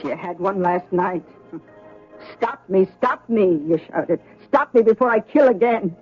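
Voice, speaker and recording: A woman's voice: mid-range voice, lively intonation, a little slow, faint music behind, natural voice. An actress playing a doting, fussing older mother in a 1970s radio drama, coaxing and maternal. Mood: concerned, soothing. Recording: dull vintage radio broadcast recording.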